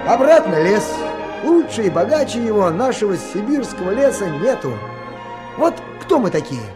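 A man's voice: sits high, big pitch swings, normal speed, over music. An actor playing a folksy, proud Siberian timber-rafter in a radio play, musing in colloquial speech like a fatherly teacher. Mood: musing, admiring.

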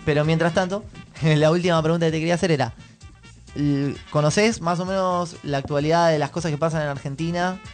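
A man hosting a radio interview, asking questions in a relaxed way and backing the guest with short replies. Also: fairly high pitch, brisk, faint music behind. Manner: relaxed, fills in with short "claro" and "ujú". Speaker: radio host.

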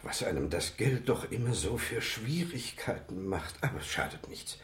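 A man playing a kindly, unworldly animal doctor in a children's radio play, musing gently. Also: fairly low pitch, expressive, moderate pace, roomy sound, close to an everyday voice. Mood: musing, hopeful. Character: kindly, unworldly animal doctor, absent-minded about money.

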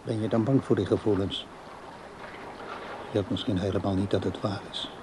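A man's voice: fairly low pitch, fairly even intonation, normal speed, noisy background, lightly acted. An actor playing a lovesick, stubborn and evasive older father in a radio drama, defensive and wistful. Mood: wistful, pleading.

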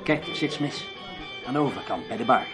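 A man playing a self-controlled, brisk newspaperman who takes charge in a radio drama, speaking with deliberate authority. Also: medium pitch, animated, over loud music, close to an everyday voice. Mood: coolly casual. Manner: commanding, deliberate.

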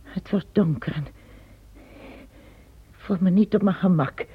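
An actress playing an older empress, lost and weary as a pilgrim, in an old radio play. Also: female voice, on the low side, measured pace, phone-quality sound, a little hiss or hum, natural voice. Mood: uneasy. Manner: weary and uneasy. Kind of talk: radio drama acting.

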